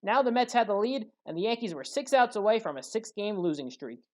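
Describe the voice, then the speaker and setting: A man narrating scripted play-by-play sports highlights as a commentator, with dramatic emphasis. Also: expressive, fairly quick.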